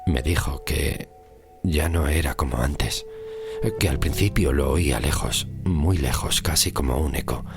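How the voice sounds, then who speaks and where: Very deep, creaky male horror narrator. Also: unhurried. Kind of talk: scripted horror story narration in first person. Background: music under the voice.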